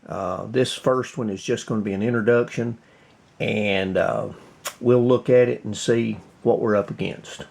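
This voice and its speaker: A man giving a casual hands-on demonstration as a video host, thinking aloud in short, halting phrases. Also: on the low side, steady tone, creaky, a little mellow. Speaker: host of a radio repair video.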